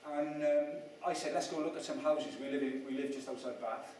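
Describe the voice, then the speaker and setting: A man raised in Wales gives a chatty, self-mocking talk to an audience, often tossing questions at them. His voice sits a little high. It is heard from a distance in a strongly echoing room with faint noise behind. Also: brisk, a little mellow. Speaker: speaker at a property event. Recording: echoey room, mic at a distance.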